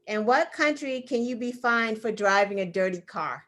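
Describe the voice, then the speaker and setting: A woman's voice: on the high side, expressive, moderate pace, a bit bright. A woman co-hosting an online news show, running a trivia game in casual chat and laughing often. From United States. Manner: laughs often, playful quizmaster. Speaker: co-host.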